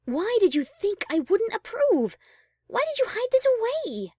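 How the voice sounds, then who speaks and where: Woman acting refined, expressive high-voiced pony mare. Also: measured pace, dry recording, studio quality, exaggerated voice. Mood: concerned. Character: refined, tender female pony cellist. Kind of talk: audio drama acting.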